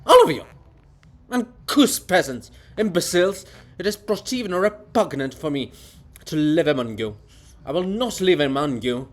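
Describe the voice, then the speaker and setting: A man acts out a stone monument come alive, a self-declared genius in a stage farce. He is haughty and paranoid, ranting in a highish voice that swings widely in pitch. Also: expressive, moderate pace, close to an everyday voice. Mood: outraged, grandiose. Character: living Bulgakov monument, raging, paranoid, grandiose man of genius. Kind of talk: theatrical dramatic reading of a farce.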